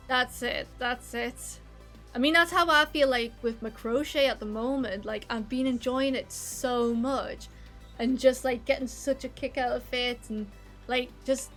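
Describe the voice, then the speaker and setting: Chatty Geordie woman streaming a game. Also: fairly high pitch. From North East England. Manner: rambling, many "like" fillers, self-deprecating. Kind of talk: casual chat while gaming, reading chat.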